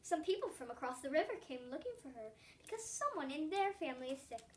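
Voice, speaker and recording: Little girl doing a nice farmer dad in a story, friendly, high kid voice, kinda far from mic. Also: very animated, fast talker, slight background noise. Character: kindly, easygoing farmer father.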